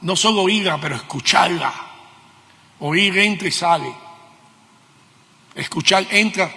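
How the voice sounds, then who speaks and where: A man's voice: sits high, unhurried, gravelly, bright, forceful tone. A middle-aged man with a Cuban Spanish accent preaching a sermon, teaching emphatically with questions and repeated key words. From Cuba. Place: church meeting hall. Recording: long reverb of a hall.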